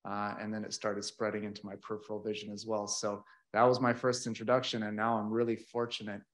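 A man's voice: lowish voice, completely flat delivery, quick pace, a bit bright. A man from Ukraine in his thirties, speaking on a panel into a handheld mic in a large event space, chatty and self-deprecating, laughing between points. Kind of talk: panel talk.

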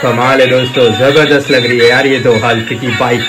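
A man's voice: medium pitch, little pitch movement, brisk, music in the background. A man chatting casually as a toy review host, effusive, piling up superlatives, mixing in English words and addressing viewers as friends.

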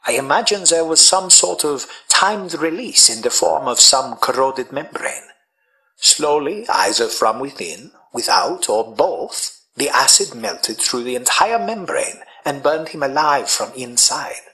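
An actor playing a cool, analytical doctor who explains things in a precise, clinical way. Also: male voice, medium pitch, bright, forceful tone, low-quality recording. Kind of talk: radio drama acting.

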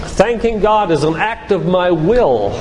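Older male lay preacher, deliberate and emphatic, high voice, in a reverberant hall. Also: animated. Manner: deliberate, emphatic teaching with repeated phrases. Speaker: physician giving a church lecture. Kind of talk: sermon-like lecture quoting scripture. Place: live talk in a church hall. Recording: reverberant church hall, background hiss.

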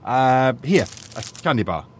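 Animated, fussy British archaeologist. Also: male voice, medium pitch, a little slow. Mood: polite, hesitant. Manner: muttering musings, hesitant, polite. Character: polite, sardonic retired English archaeologist, curious and fussy. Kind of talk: audio drama acting. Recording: faint ambient noise behind.

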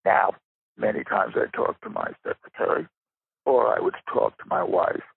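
An older man giving a reflective interview as a guest, with a gravelly, husky voice and deliberate, halting phrasing. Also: fairly low pitch, huge pitch swings, dry recording. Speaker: interview guest, writer and rare book dealer. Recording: thin phone-like line.

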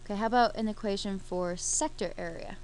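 A woman's voice: mid-range voice, fairly quick, darkish tone, slight background noise, rough recording. A woman teaching a math lesson over a screen recording, explaining each step while she works the problem.

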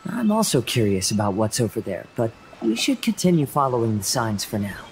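A young man voicing a gentle, composed wandering swordsman in an anime game, soft-spoken and poetic, like a storyteller. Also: medium pitch, sing-song, a little fast, slight background noise, low-quality recording. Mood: calm, attentive.

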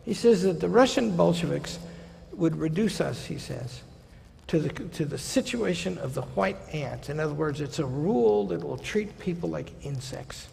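A man in his sixties, a college president, gives a seminar lecture from a podium, conversational with wry asides and slowing for quotations. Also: a little high, lively intonation, creaky, a little mellow. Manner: conversational, wry asides, slows down for quoted passages. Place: large lecture hall stage at a podium. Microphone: podium microphone. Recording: hall reverb.

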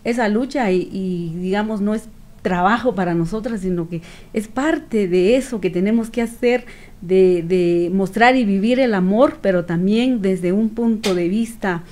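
A middle-aged woman with a Guatemalan accent giving earnest, reflective interview answers in a measured way, with pauses. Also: medium pitch, darkish tone. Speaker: activist guest. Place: small radio studio with several people. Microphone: studio microphone on stand.

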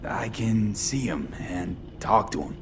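A hard-bitten mercenary talks bluntly and tersely in a low voice. He is a weary video game hero, with steady background noise around him. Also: slow. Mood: guarded. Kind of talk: video game dialogue acting. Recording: game ambience and traffic noise behind.

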